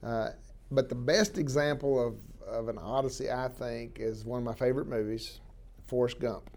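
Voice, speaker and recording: A man's voice: medium pitch, steady tone, normal speed, a little mellow. A man, a guest telling stories about his life in a rambling way with many fillers. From southwestern Oklahoma. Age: 60s. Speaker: interview guest, wildlife biologist. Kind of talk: relaxed storytelling interview.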